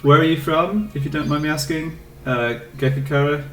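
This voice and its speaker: A man's voice: a little high, fairly even intonation, normal speed, echoey room, far from the mic. A young man from England with an English accent, casually chatting with his live chat while streaming a game, rambling and answering questions.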